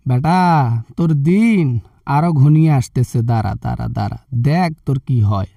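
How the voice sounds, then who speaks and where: A man's voice: on the high side, lively intonation, normal speed, vocal fry, mellow, no echo, recorded from a distance. A man with a Bangladeshi accent playing a stern religious party leader in a comic phone skit, scolding and preaching threats. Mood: threatening.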